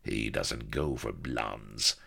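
A man's voice: rumbling low, slow, vocal fry, sharp tone, breathy edge, pitched below the performer's own voice, exaggerated voice. A man voicing a cruel, leering pirate villain who growls and croons menacingly in archaic speech, in an adventure story. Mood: snarling.

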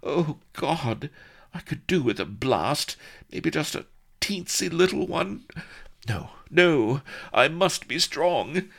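A man's voice: on the high side, animated, slow pace, gravelly, a bit bright, slightly rough, voice pushed up, full character voice. A man voicing a melodramatic, addled young princess who wails and swoops into shrieks. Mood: anguished. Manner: wailing, overwrought, swooping into shrieks.

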